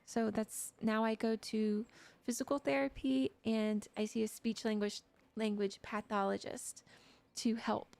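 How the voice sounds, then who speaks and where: A woman's voice: fairly high pitch, little pitch movement, a little slow, creaky, darkish tone. A young woman streamer chatting casually with her viewers, giggly and talkative. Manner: giggly, chatty, talks to chat. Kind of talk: casual livestream chat.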